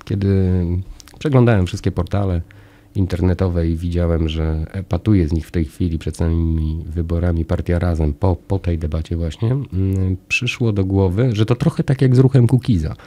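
Deep, dark-voiced Polish radio host. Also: male voice, steady tone, normal speed. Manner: long, discursive questions with analogies. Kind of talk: radio interview. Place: small radio studio with orange acoustic panels. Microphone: studio mic on a desk arm, wearing headphones.

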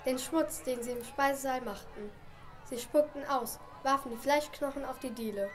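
A woman acts a servant maid in an amateur radio play. Her voice is on the high side as she complains in disgust. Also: moderate pace, natural voice.

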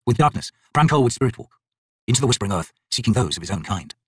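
A man reading a fantasy audiobook in a theatrical, solemn, expressive manner. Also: mid-range voice, fast talker, husky, no echo, clean studio sound. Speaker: audiobook narrator, actor. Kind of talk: dramatic scripted fantasy audiobook narration.

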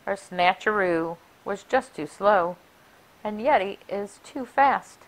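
A middle-aged woman reading a rhyming picture book aloud for children in an expressive, deliberate, sing-song way. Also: medium pitch, rough recording. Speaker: library story time reader. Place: small furnished room indoors. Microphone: clip-on lapel mic.

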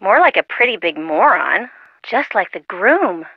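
A woman's voice: mid-range voice, sing-song, measured pace, slightly rough, no room sound, heavily acted. An actress voicing a breezy, sardonic teenage American girl gossiping on a phone call in a video game. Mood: breezy, teasing. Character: chatty, teasing teenage American girl, loyal friend.

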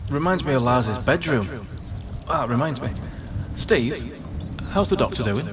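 A man playing a brisk, wry spaceship captain in a sci-fi audio drama, casual but in command. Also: medium pitch, expressive, noisy background, close to an everyday voice. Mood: wry, focused.

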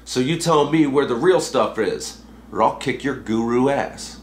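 A man's voice: mid-range voice, unhurried, roomy sound, natural voice. A man voicing a reckless, dogged young thrill seeker in a recited story poem, desperate and indignant.

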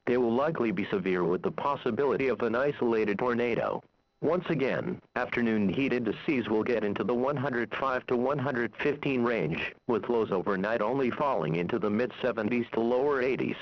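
A man's synthetic, formal, impersonal voice reading a scripted weather forecast as a radio announcer. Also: mid-range voice. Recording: dull, lo-fi radio broadcast.

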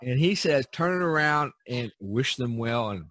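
A man hosting a casual chat, telling anecdotes in a rambling, halting way. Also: mid-range voice, lively intonation, a bit bright, no room sound. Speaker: podcast host.

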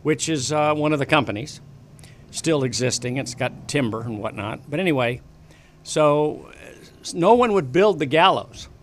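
A man's voice: fairly high pitch, animated, normal speed, a bit bright, slight background noise. An older man from the western United States, a studio guest telling long stories in a casual, rambling way with many restarts, speaking into a desk mic. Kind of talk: casual interview storytelling. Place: small studio with bookshelves. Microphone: desk broadcast mic on boom arm.

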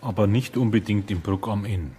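An actor playing a blunt police detective who puts brief, pointed questions. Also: male voice, lowish voice, normal speed, dark tone, a little hiss or hum, lightly acted. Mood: probing. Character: blunt male police detective, junior partner.

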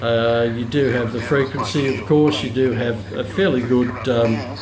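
A man giving an informal, unscripted product demonstration as a hobbyist reviewer, often pausing mid-sentence as he explains features. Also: mid-range voice, steady tone, a crowd in the background. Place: indoor room with hard countertop. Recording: roomy echo, clear background noise.

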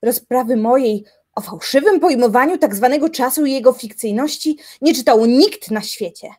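An actress playing an obsessive, indignant young reclusive philosopher in a radio drama, brooding aloud with dramatic deliberation. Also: female voice, high voice, lively intonation, moderate pace, warm tone. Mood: incredulous, insistent. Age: young adult. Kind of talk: theatrical radio drama monologue. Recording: music behind the voice.

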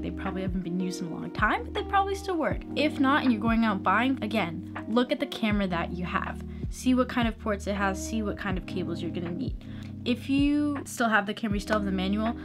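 A young American woman explaining things in a casual, chatty vlog style, recorded indoors in a small room. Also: mid-range voice. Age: young adult. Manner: chatty, informal. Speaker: video tutorial presenter. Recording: music playing behind the voice. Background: plucked guitar music.

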